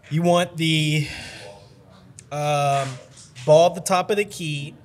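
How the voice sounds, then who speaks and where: A man's voice: fairly high pitch, steady tone, takes it slowly. A young man hosting a casual interview, bantering playfully with slang into a desk microphone in an indoor studio. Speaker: host. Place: indoor studio set with plants. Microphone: desk mic on stand.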